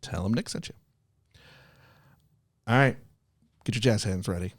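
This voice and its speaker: A man's voice: on the low side, unhurried, husky. A man hosting a podcast in an upbeat, casual style, with a jokey, chatty manner. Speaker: podcast host.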